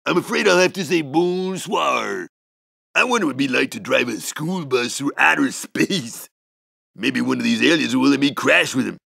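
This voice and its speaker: An actor with an American accent playing a laid-back stoner metalhead school bus driver in a cartoon video game, with a raspy slacker drawl. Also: male voice, mid-range voice, animated, bright, forceful tone, no room sound, clean studio sound, heavily acted. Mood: mellow, spaced-out. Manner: spaced-out slacker slang, drawn-out words.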